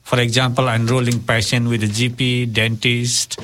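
A man co-hosting a community radio show from a studio. He reads his questions in a formal, slightly halting English. His voice sits at an ordinary pitch. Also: level tone, a bit bright, a little hiss or hum.

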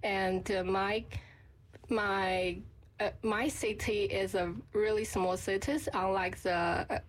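A woman from Yunnan, China, a native Chinese speaker, answering as a radio guest in a hesitant, halting way. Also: medium pitch, fairly even intonation. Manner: hesitant, halting, repeats words. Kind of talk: interview answers. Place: radio studio.

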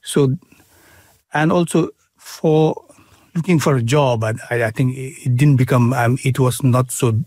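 Man, Bhutanese accent, middle-aged, interviewee, halting speech, dry sound. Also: mid-range voice, steady tone, a little mellow, no room sound. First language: Nepali. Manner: halting, many fillers and restarts. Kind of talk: casual interview.

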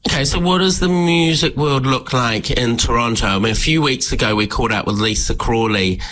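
A man hosting a casual interview, upbeat and encouraging with quick interjections. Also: mid-range voice, fairly bright tone. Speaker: interviewer host.

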